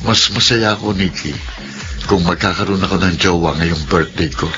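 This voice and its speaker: A man's voice: low voice, moderate pace, lightly acted. An actor playing an eager, cheeky, lovestruck young man in a Filipino audio drama. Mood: cheeky pining. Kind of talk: Filipino radio-style drama acting. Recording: dull lo-fi audio with background music.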